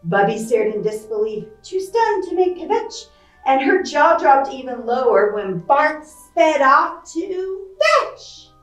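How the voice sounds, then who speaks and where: Animated high-pitched woman reading to children. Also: takes it slowly, echoey room, far from the mic, faint music behind, poor audio. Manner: expressive, playful, acting out sound effects; chatty asides and questions to young listeners. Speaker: library storytime reader. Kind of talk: animated picture-book read-aloud for children.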